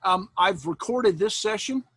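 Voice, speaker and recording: Brief: jokey sixties-age instructor on a video call, husky higher voice, close and dry. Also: male voice, expressive, a little slow, a bit bright, no echo. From Iowa, USA. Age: 60s. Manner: folksy, joking, laughs often. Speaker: college instructor. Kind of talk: casual chat with students after an online class. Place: home, indoors, on a video call. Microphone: computer mic on a video call.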